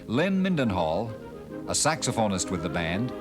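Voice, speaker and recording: A man's voice: lowish voice, normal speed, over music. A man narrating a documentary in a formal, scripted way. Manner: formal, lively delivery of dates and facts.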